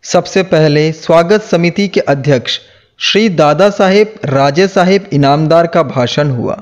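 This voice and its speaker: High-pitched man narrating slowly and formally. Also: lo-fi sound. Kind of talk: scripted narration of a historical speech. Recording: music behind the voice. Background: background music.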